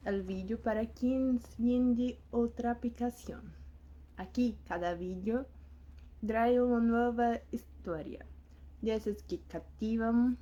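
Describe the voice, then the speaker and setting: A woman hosting a story channel, speaking Spanish as a second language in a careful, slightly halting way. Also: on the high side, dark tone. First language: not Spanish. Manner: careful, slightly halting non-native delivery. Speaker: channel host. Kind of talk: scripted channel intro. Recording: dull lo-fi recording.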